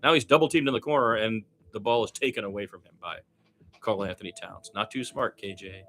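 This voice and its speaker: A man giving live basketball commentary as an amateur radio announcer, animated on the plays and wry and musing in his casual, rambling asides. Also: fairly low pitch, fairly quick, a little hiss or hum.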